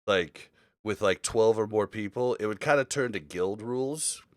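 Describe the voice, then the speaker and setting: A man's voice: lowish voice, moderate pace, smooth, no room sound, studio quality. A man hosting a casual gaming podcast, talking in a rambling, informal way. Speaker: podcast host.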